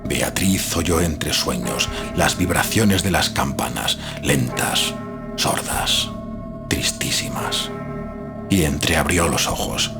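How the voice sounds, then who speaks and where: A man narrating a scripted literary horror tale in a measured, dramatic storytelling manner. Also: extremely low pitch, creaky.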